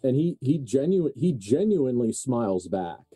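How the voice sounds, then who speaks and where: Male game master, very mellow and dark tone, casual storytelling over background music. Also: medium pitch, very animated, moderate pace, husky, no echo. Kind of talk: narrating a live tabletop roleplay game.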